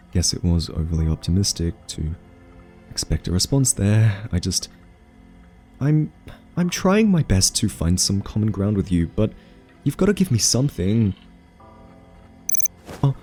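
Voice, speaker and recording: A man performs a male scientist with a deep, warm, softened voice over faint music. He is earnest and socially awkward, feeling his way through sentences with nervous hesitations. The delivery is gentle, soft-spoken ASMR roleplay. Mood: pleading, discouraged. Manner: hesitant, halting, full of nervous ums.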